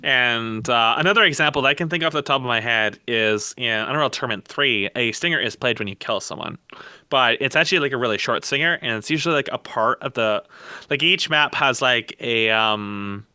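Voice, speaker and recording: Bright, forceful man ranting casually. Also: medium pitch, lively intonation, fairly quick, smooth, no echo. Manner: rambling, emphatic, repeats words for stress. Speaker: podcast co-host. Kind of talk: casual opinionated discussion.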